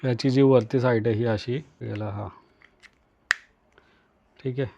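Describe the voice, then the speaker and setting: Indian guy from maharashtra doing a casual how-to, soft dark voice, kinda muffled audio, short instructions. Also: mid-range voice, steady tone, unhurried, creaky. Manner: instructional, repeats short phrases, addresses viewers as friends. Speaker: vlogger, tutorial host. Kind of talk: casual hands-on tutorial narration.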